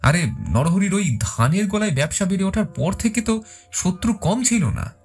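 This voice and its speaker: A man performs a brash village husband in an audio drama, sure of himself and dismissive. His voice is husky and rough, with faint music behind it. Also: sits high, expressive, normal speed, darkish tone. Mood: defiant, dismissive. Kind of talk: Bengali audio story acting.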